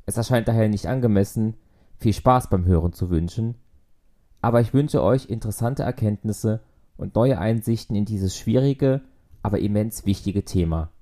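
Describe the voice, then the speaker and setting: A male podcast host delivers a scripted introduction in an earnest, composed way. His voice sits low and very dark. He sounds mellow and unhurried.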